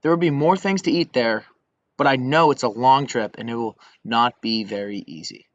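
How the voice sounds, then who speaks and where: Male reader as wise storybook lion, polite, a touch grumpy, measured, dull dry recording. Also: medium pitch, no echo, natural voice. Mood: thoughtful.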